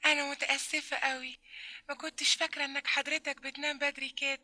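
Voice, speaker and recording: Coy, high-pitched Egyptian flirt. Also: female voice, little pitch movement, fast talker, bright, forceful tone. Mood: coy, apologetic. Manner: drawling, exaggerated coyness. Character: coy, teasing, flirtatious woman on a late-night phone call. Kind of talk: old Egyptian radio comedy acting.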